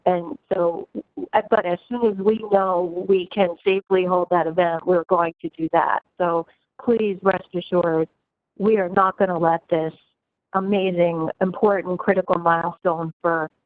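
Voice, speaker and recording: A woman's voice: on the low side, dry recording. Scratchy-throated woman on a dry phone line. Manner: reassuring, repeats herself, many fillers. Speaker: university administrator. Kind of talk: online meeting remarks. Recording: dry tinny phone-like audio.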